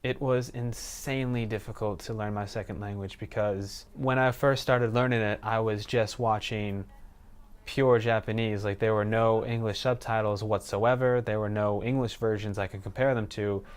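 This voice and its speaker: A man of about twenty, a student answering documentary interview questions in a relaxed, conversational way. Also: on the low side, normal speed, smooth. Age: 20s. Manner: relaxed, conversational, with casual fillers.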